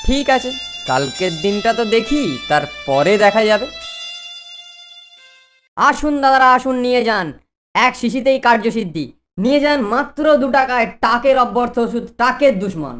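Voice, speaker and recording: Eager, high-voiced boy hawker. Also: expressive, normal speed, a bit bright, soft background music. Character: eager young boy street hawker, practical sidekick. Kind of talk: Bengali children's cartoon voice acting.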